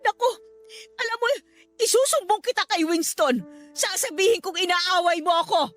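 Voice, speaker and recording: A domineering older Filipino mother-in-law in radio drama style. Her voice is very high and piercingly bright, with a haughty, confrontational bite as she scolds and lays down the law. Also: lively intonation, moderate pace, soft background music. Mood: haughty scolding.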